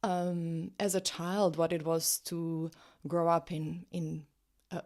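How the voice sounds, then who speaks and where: A woman in her late thirties from Serbia with a Serbian accent, a guest chatting in an interview, cheerful and quick to laugh. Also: fairly low pitch, little pitch movement, darkish tone. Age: 30s. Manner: cheerful, laughs often, restarts words. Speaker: guest, social psychology professor. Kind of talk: casual interview chat.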